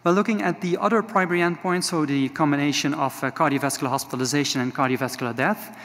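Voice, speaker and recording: A man in his forties with a Dutch accent, giving a measured conference talk with frequent filler sounds. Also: a little high, fairly bright tone. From Netherlands. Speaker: conference speaker. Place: large conference hall. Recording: reverberant conference hall.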